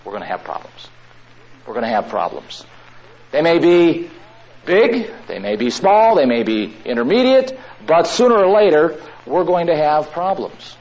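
A man preaching a sermon to a room of listeners, drawing out his words with long pauses. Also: a little high, big pitch swings, a little mellow. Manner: slow, drawn-out phrasing with long pauses. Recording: dull lo-fi recording.

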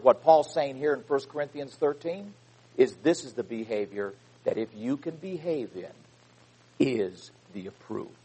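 A man preaching a sermon, reading scripture with loud emphasis and dropping into quiet asides. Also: fairly high pitch, low-quality recording.

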